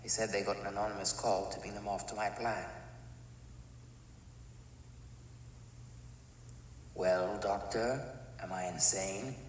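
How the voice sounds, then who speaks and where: Man playing a cold, echoey, low-voiced older villain. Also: steady tone, lightly acted. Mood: calm, challenging. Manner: measured, matter-of-fact, haughty. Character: cold, remorseless older male villain, an imprisoned mad chemist. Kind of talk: audio drama acting. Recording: echoey reverberant room, distant, faint noise.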